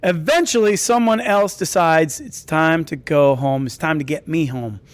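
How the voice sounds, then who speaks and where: High-pitched man telling a rambling comic story. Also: normal speed, no room sound. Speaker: storyteller at a live comedy story night. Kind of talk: comedic personal storytelling to an audience.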